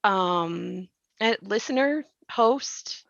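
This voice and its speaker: A woman's voice: medium pitch, animated, drawn-out words, gravelly, no room sound. A woman speaks as a podcast host in a mid-range voice. She explains things thoughtfully in a reflective discussion style. The sound is very dry and slightly dull, with little room on it.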